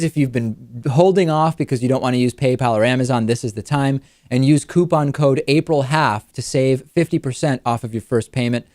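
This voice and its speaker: A man's voice: medium pitch, darkish tone, no room sound, studio quality. A man hosting a talk show, presenting in a conversational way and leaning on key phrases for emphasis. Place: studio.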